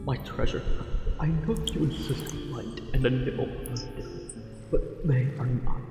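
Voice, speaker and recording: A man voicing a tender, possessive merman for an ASMR romance roleplay, speaking formally and haltingly with a breathy delivery. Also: medium pitch, steady tone, close to an everyday voice. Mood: gently reluctant. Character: lovesick possessive angler merman, courtly and tender. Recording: very echoey, long reverb, music behind.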